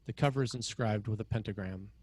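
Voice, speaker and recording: A man's voice: on the low side, fast talker, darkish tone, dry recording. A man reading scene headings and stage directions as the narrator of a screenplay table read.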